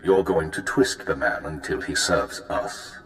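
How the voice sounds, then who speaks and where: A man voicing a stern, precise intelligence handler giving crisp, commanding orders in a video game. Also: rumbling low, a little slow, fairly bright tone, exaggerated voice. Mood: approving. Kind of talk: video game cut-scene acting.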